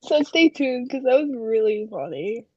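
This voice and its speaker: A young woman co-hosting a casual chat, chatty and giggly, her voice a little hoarse. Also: on the high side, very animated, dark tone, no room sound, highs cut off. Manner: giggly, chatty, drawn-out fillers. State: slightly hoarse. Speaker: podcast co-host.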